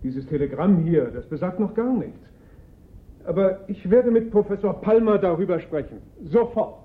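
Radio drama: grumbling, self-important gallery owner, fairly high swooping voice, thin phone-like sound. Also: male voice, expressive, normal speed. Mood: reproving. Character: sceptical, irritable male art gallery owner. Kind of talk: German radio play acting.

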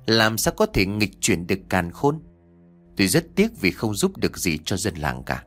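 A man voicing a calm, philosophical male traveller with a measured, courteous manner in a told story. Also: fairly low pitch, soft background music. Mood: consoling, solemn.

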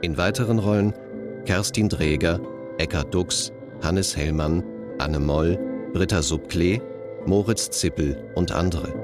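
A man reading scripted radio drama credits as an announcer, in a formal, measured manner. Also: low-pitched, music in the background.

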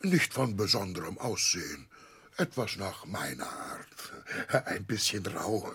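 An actor in a radio play playing a warm-hearted, simple seafaring uncle with a rough, husky voice and an emotional, halting way of telling things. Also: male voice, low voice, big pitch swings, heavily acted. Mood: confiding, hearty. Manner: emotional, halting, emphatic storytelling with exclamations. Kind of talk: 1960s German radio play acting.

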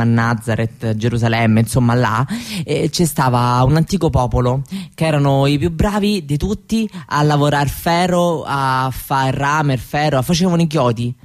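A woman playing a candid, proud seventeen-year-old Roma girl in a radio monologue, with a Roman Italian accent and halting colloquial talk that often seeks agreement. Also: very deep voice, a little mellow. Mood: storytelling, candid. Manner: colloquial, halting, seeking agreement with little tag questions. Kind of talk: conversational theatrical monologue for radio.

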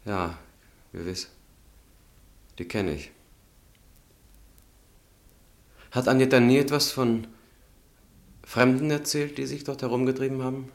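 An actor playing a controlled, secretly calculating young student in a radio drama, speaking in a measured, softly probing way. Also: male voice, medium pitch, natural voice. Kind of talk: German radio drama acting.

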